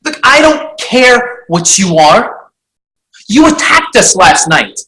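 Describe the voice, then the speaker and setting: A hot-tempered heroine played by a woman at a script table read. She is combative and indignant, with a forceful edge and lively pitch. The room sounds echoey. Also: slow pace, exaggerated voice.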